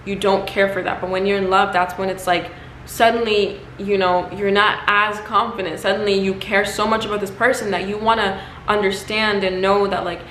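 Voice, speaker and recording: Hoarse woman, chatty vlogger, roomy echo, faint hum behind. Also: mid-range voice, steady tone, normal speed, a bit bright. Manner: chatty, heavy use of "like" and "you know". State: hoarse, losing her voice. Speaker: astrology YouTuber. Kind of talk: casual chat to camera. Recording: echoey room, faint ambience.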